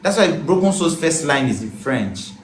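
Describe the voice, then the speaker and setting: A singer-songwriter man chatting as an interview guest, animated and breaking into song as he talks. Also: a little high, moderate pace, a little hiss or hum. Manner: animated, bursts into song mid-sentence. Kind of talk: casual chat. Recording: roomy echo.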